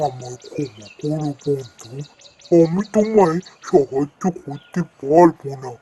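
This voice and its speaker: A man's voice: on the high side, lively intonation, unhurried, mellow, a little hiss or hum. A man voicing a friendly talking tree in a cartoon fairy tale, solemn and earnest in giving counsel. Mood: apologetic, advising.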